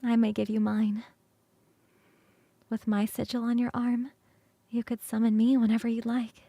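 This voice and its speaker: Woman playing a soft, intimate ancient succubus queen. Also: fairly high pitch, level tone, dry recording. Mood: tender, intimate. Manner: slow, intimate, soothing, often whispering. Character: ancient succubus queen, tender, teasing, doting lover. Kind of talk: ASMR roleplay.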